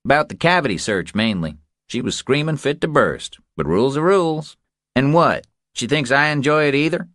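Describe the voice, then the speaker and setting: A man's voice: mid-range voice, animated, normal speed, a bit bright, dry recording, studio quality, voice pushed up, close to an everyday voice. A man voicing a stern, bored middle-aged woman jail bailiff, curt and folksy, in an audiobook. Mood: irritated, unbending. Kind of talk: audiobook character voice.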